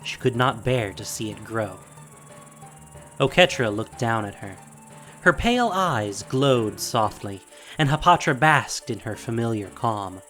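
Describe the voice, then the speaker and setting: A man with a mid-pitched voice narrates dramatic fantasy as a scripted story. Faint music and insect sounds sit behind him. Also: animated, a little slow, pushed and bright.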